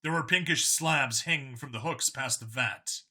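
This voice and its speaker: A man's voice: mid-range voice, moderate pace, sharp tone. A man reading scripted fiction as an audiobook narrator, in a dramatic storytelling style.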